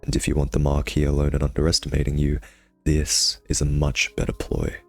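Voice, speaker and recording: A man's voice: very deep voice, completely flat delivery, a little fast, vocal fry, soft background music, full character voice. A man playing a cocky, sardonic vampire nemesis who teases and taunts the listener in an intimate ASMR roleplay. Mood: smug, teasing.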